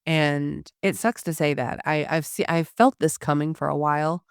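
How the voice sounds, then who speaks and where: A hoarse woman giving a candid personal health update to her listeners, with frequent pauses and restarts. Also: low voice, fairly even intonation, no room sound, studio quality. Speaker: podcast host.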